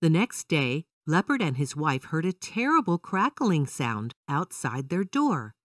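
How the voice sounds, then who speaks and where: Female children's storyteller: scripted narration, animated intonation, dry sound, a little distant from the mic. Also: sits low, unhurried, no echo.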